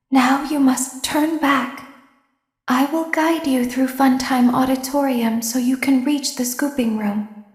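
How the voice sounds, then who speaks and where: A woman voicing a calm, manipulative animatronic robot girl from a game, speaking in slow, measured tones that often drop to hushed whispers. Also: high-pitched. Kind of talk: fan voice acting of game lines. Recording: roomy echo.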